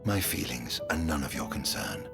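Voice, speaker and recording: A man's voice: a bass voice, very animated, moderate pace, vocal fry, heavily acted. An actor playing an embittered immortal male exile in a video game cutscene, speaking with theatrical, weary menace. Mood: weary, guarded. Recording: loud orchestral music behind.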